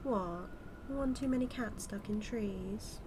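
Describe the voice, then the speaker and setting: A woman's voice: mid-range voice, noisy background, natural voice. A woman with a British accent acting as a smug female supervillain who mocks and teases her hero nemesis in a theatrical, drawling audio roleplay monologue. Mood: menacing, mocking. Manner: mocking, theatrical, drawling with drawn-out words.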